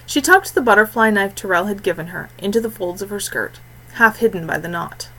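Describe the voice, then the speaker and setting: A woman narrating a scripted fantasy story in a measured, expressive way with deliberate phrasing. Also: medium pitch, husky, rough recording. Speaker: audiobook narrator.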